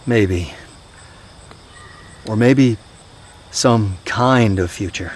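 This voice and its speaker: Weary, low-voiced soldier father. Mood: haunted, hesitant. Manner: halting, confiding, subdued. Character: weary, haunted old soldier, gentle father. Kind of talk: naturalistic audio drama acting. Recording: steady outdoor night-insect noise.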